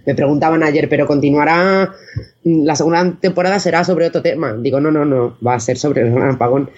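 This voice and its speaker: A man's voice: fairly high pitch, a little fast, darkish tone, muffled recording. A man from chatting casually as an interview guest, hesitant and often correcting himself. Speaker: guest, audio fiction director.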